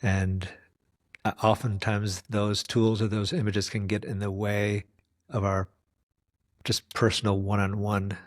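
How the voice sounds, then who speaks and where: A man's voice: on the low side, flat delivery, unhurried, no room sound. A man from the United States hosting an interview. He talks thoughtfully and muses at length with rambling asides. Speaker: host. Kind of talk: conversational interview. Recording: dry close recording.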